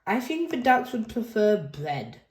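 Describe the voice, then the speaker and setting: A woman voicing a calm, patient mother pig in a storybook, speaking gently and evenly. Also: a little high, measured pace. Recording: echoey room.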